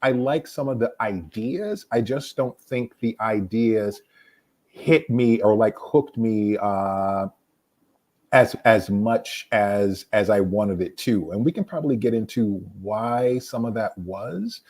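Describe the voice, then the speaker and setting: A man's voice: on the low side, takes it slowly, a little mellow. A man speaking casually as a panelist, giving his own opinion.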